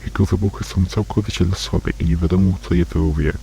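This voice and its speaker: A man with an extremely deep voice narrates an analog horror case file. His delivery is monotone and detached, like a report being read out. A faint hum sits behind the recording. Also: quick pace. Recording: faint hum and background noise.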